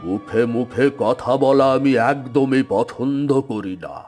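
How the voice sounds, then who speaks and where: A man's voice: mid-range voice, slow, a little mellow, soft background music, close to an everyday voice. A man voicing a stern, menacing old wizard with a grave, weighty voice and a scolding, commanding manner for a cartoon. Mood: angry, threatening.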